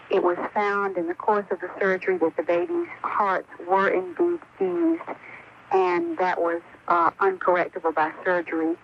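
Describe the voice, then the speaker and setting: A woman, a hospital spokesperson, giving a careful, matter-of-fact formal statement. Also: on the low side. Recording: dull, faintly noisy telephone-like news clip.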